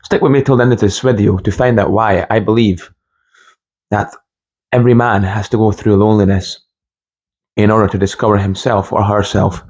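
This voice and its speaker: A young man from Scotland and Hungary giving an informal motivational monologue with personal stories, speaking haltingly and repeating phrases for emphasis. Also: on the low side, steady tone, a little mellow. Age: young adult. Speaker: motivational video host.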